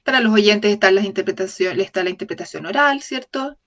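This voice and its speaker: A woman voicing a lecture for an interpreter, speaking in a measured way, often correcting herself mid-phrase and checking in with the audience. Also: medium pitch, expressive. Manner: measured, with frequent self-corrections and tag questions to the audience. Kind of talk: voiced-over lecture in an open talk series.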